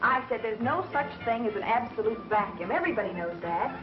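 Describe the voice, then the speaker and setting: An actress playing a quick-witted, wry woman under suspicion in a 1930s mystery film. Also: fairly high pitch, lively intonation, lightly acted. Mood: sarcastic. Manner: wry, sarcastic retorts. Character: quick-witted, sarcastic woman suspected of murder. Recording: muffled 1930s film soundtrack with music.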